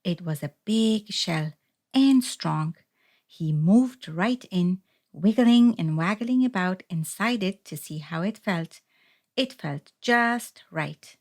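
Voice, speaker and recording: A woman reading a children's story aloud as narrator, in a deliberate, expressive storytelling manner. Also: fairly low pitch. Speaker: storybook reader.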